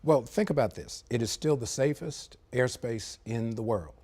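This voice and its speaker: A man, a former air traffic controller, giving a measured, analytical explanation as a guest in a TV studio, with frequent fillers. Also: mid-range voice, darkish tone. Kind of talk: live TV interview.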